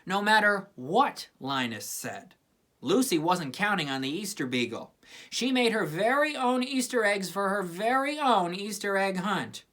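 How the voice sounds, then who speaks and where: A woman's voice: medium pitch, big pitch swings, measured pace, a bit bright. A woman reading a children's story aloud with plenty of expression. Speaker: storybook reader.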